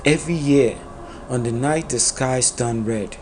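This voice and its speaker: A man narrating a dark epic folktale in a solemn, dramatic storytelling manner. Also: mid-range voice, unhurried, loud music behind the voice.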